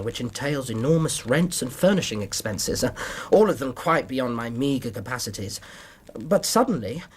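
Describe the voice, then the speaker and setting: An actor playing a polite, modest young English doctor in a period radio drama, speaking with a courteous, hesitant and precise manner. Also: male voice, mid-range voice, husky. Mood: earnest, confiding. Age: young adult. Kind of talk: period radio drama acting, narrating his story.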